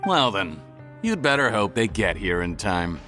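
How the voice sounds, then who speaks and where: A man's voice: on the low side, very animated, measured pace, over music. An actor playing a cruel, scheming male criminal who sneers and mocks his captives in a video game. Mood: sneering. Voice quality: sneering, mocking laughs.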